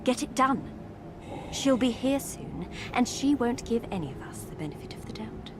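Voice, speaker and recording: A woman's voice: a little high, lively intonation, normal speed, background noise, close to an everyday voice. An actress playing a ruthless, commanding game villainess who drives her workers with menace. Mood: cold menace.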